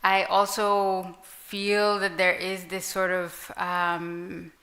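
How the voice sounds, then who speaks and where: Woman, arabic native, bright voice, kinda hesitant, lots of likes and ums, panel talk. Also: lowish voice, monotone, very slow. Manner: reflective, hesitant, many fillers and restarts. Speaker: panelist author. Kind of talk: live panel discussion. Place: literary event with audience.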